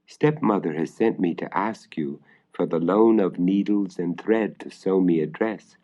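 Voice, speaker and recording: A man's voice: deep voice, a little slow. A man voicing a sweet, polite, obedient young girl in a storybook tale. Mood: sweet, dutiful. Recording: dull vintage vinyl record transfer.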